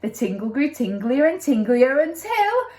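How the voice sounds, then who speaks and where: A woman narrates a children's story in a playful, dramatic style. Her voice is high and swoops with lots of expression, and the room around her is noticeably echoey. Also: very animated, unhurried, a little mellow. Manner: dramatic, playful with sound effects.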